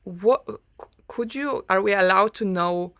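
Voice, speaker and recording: A woman with a Polish accent, a native Polish speaker, hosting an online interview in a soft-spoken, hesitant way with many ums. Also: medium pitch, unhurried, no echo, studio quality. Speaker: host.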